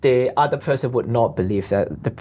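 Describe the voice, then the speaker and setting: A deep-voiced man speaks in a middle pitch. He explains points earnestly and at length, with frequent false starts and repeated words. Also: lively intonation, fairly quick, mellow, a little hiss or hum. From Hong Kong. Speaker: interview guest. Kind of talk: conversational interview.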